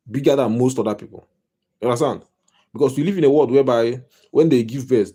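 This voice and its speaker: A man's voice: mid-range voice, mellow, husky. A man with a Nigerian accent giving a casual live-stream monologue as a life coach, rambling and repeating himself with wry humor. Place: home.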